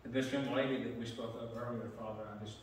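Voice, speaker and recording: A middle-aged man leading prayer as pastor, plain-spoken with some halting fillers, heard from a pulpit mic in a large church sanctuary. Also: medium pitch, fairly even intonation, a little hiss or hum. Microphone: pulpit mic, camera far back. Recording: echoey sanctuary from far off.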